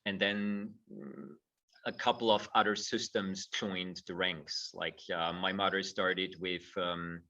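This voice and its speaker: A man's voice: deep voice, level tone, slow pace, vocal fry, sharp tone, distant mic. A middle-aged man from Austria, a guest in a conversational interview who speaks thoughtfully and repeats words as he starts his phrases. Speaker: guest, movement teacher.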